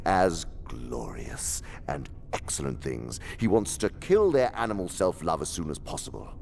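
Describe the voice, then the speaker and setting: An actor playing a haughty, scheming senior demon who lectures in a pompous, theatrical, condescending way, in a radio drama. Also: male voice, big pitch swings, normal speed, a little hiss or hum, full character voice. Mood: irritated, grim. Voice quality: very deep, gravelly.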